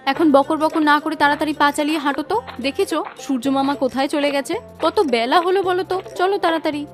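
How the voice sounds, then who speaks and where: A woman playing an affectionate, bossy wife in a cartoon fairy tale, with a Bengali accent and an expressive manner. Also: high-pitched, fairly quick, soft background music, natural voice. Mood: impatient chiding. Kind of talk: cartoon voice acting, domestic dialogue.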